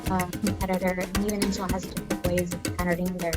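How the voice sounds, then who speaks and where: A woman's voice: on the low side, flat delivery, quick pace. A young woman engineer presenting on a video call in a casual, chatty way, laughing easily. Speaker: engineer presenting on a career panel. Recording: music playing under voice. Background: video soundtrack music.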